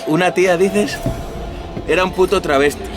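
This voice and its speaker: An actor playing a cocky, menacing neo-Nazi gang leader with an accent from Spain, coarse and swaggering, in an audio drama. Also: male voice, high-pitched, normal speed, over music, natural voice. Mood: aggressive. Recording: noisy crowded bar scene.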